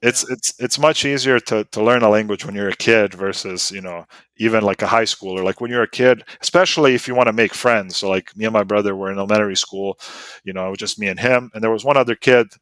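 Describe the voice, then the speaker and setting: A man's voice: fairly low pitch, fast talker, a bit bright, dry recording, rough recording. A man in his thirties from Bosnia, whose first language is Serbo-Croatian, telling stories as a podcast guest in a loose, rambling way full of "like" and "you know.". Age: 30s. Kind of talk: casual storytelling interview.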